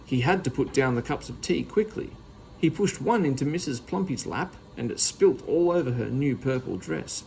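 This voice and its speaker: A man's voice: medium pitch, sing-song, moderate pace, gravelly, a little mellow, slight background noise, poor audio. A man reading a children's storybook aloud as narrator. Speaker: story reader.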